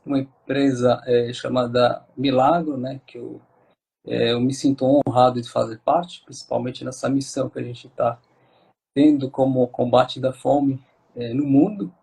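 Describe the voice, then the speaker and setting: Husky, gravelly-voiced Moroccan man. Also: medium pitch, a little mellow. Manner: hesitant, many fillers and restarts. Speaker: guest. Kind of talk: casual interview talk.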